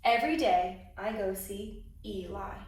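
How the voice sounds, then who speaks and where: A woman's voice: mid-range voice, a little slow, pitched below the performer's own voice, natural voice. A woman voicing a breezy, encouraging young wooden puppet woman in a children's storybook. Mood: breezy, assured. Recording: very echoey room, distant microphone.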